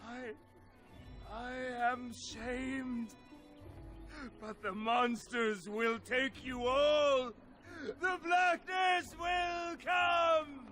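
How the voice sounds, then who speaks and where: A raving prophet of doom for a fantasy video game. The voice is very high and forceful, drawn out slowly in fervent shouts, with music underneath. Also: male voice, steady tone, heavily acted. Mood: shamed, still fearful. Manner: fervent, shouting, apocalyptic preaching. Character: raving doomsayer, frantic, prophesying slaughter by monsters. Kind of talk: video game cutscene acting.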